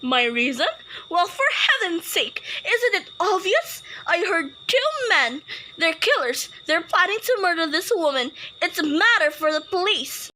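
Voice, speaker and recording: An actress in a radio play, playing an anxious, demanding invalid wife who is easily exasperated and insistent. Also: female voice, extremely high pitch, very animated, a little slow, bright, forceful tone, heavily acted, low-quality recording. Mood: indignant, exasperated. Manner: agitated, insistent, indignant. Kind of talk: old-time radio play acting.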